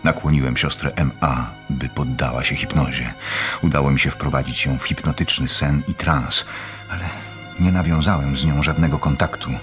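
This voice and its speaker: An actor playing a scholarly, secretive doctor obsessed with power in a radio drama, speaking in a measured, clinical way. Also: male voice, extremely low pitch, steady tone, over music. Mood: absorbed. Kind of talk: Polish radio drama acting.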